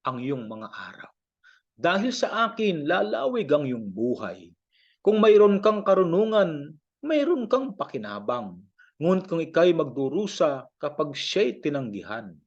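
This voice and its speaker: Male Filipino audio Bible reader. Slow, measured verse recitation with animated pitch; slightly muffled lo-fi sound. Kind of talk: scripted scripture reading.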